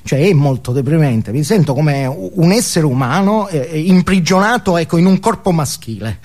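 A man's voice: on the high side, lively intonation, normal speed, darkish tone. An actor playing a neurotic, ashamed, self-absorbed man who stammers and repeats words as he justifies himself in a comic stage monologue. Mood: nervous, earnest.

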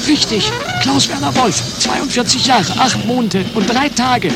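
A man playing a slow-witted, obedient henchman in a satirical radio comedy, dutifully parroting what he is told. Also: very high-pitched, loud music behind the voice. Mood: dutiful, earnest. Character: squeaky, slow-witted, obedient henchman reporting to his boss.

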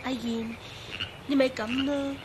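A woman acting a gentle, hopeful and caring character in a radio drama, earnest and coaxing, with a Hong Kong Cantonese accent. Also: sits high, fairly even intonation, a little slow, background noise.

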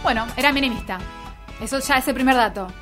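High-voiced Argentine woman hosting over music. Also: fast talker. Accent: Argentine Rioplatense. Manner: playful, ironic. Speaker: radio show host. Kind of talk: casual live radio banter.

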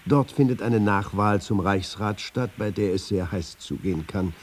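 An actor playing a dry raconteur who acts out a pompous newspaper boss in a radio feature. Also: male voice, lowish voice, moderate pace, slightly rough. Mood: dryly comic. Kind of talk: dramatised anecdote reading in a radio feature.

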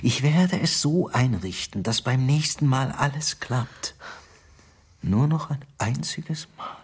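An actor in a radio drama playing a scheming Soviet official, pleading in a husky, breathy voice. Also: male voice, mid-range voice, lively intonation, slow, exaggerated voice. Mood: pleading, conspiratorial.

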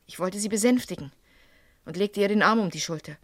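Actress as defiant, haughty young student. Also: female voice, medium pitch, a little fast, no room sound, clean studio sound, natural voice. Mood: grave, confessing. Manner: curt, defensive, haughty. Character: defiant, evasive young female student under police questioning. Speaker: radio drama actor. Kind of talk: vintage German radio crime drama acting.

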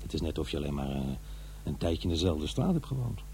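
A man's voice: extremely low pitch, very animated, quick pace, creaky, dark tone, slight background noise, full character voice. An actor playing an awkward, defensive divorced father in middle age in a radio play, with a hesitant delivery. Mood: awkward, wistful. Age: middle-aged. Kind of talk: radio play acting, naturalistic dialogue and narration.

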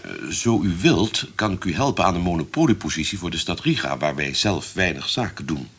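An actor playing an obliging merchant's agent in a Dutch historical radio play, formal and polite, with a husky voice. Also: male voice, sits low, lively intonation, brisk, fairly bright tone, heavily acted. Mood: courteous, obliging. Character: courteous, obliging merchant's agent at trading house.

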